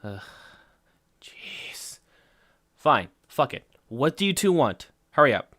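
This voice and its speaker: A man acts out a surly, exasperated audio drama character who curses freely and drips sarcasm. He speaks at an unhurried pace, in a close, dry recording. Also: mid-range voice, sing-song, a bit bright, no room sound, heavily acted. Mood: irritated. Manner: sarcastic, irritable, cursing. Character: surly, foul-mouthed, exasperated man, grudging informant.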